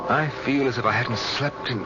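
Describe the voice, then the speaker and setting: A man's voice: mid-range voice, moderate pace, over music, lightly acted. An actor playing a middle-aged American man, a weary and uneasy husband, in an old-time radio drama. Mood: weary, fond. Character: weary, puzzled husband, uneasy traveller and narrator. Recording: dull old radio recording.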